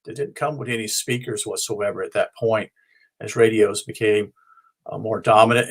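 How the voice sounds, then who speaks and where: Halting American man explaining informally. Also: medium pitch, a bit bright. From North Carolina, United States. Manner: halting, rambling, self-correcting. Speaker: ham and shortwave radio hobbyist presenting his show.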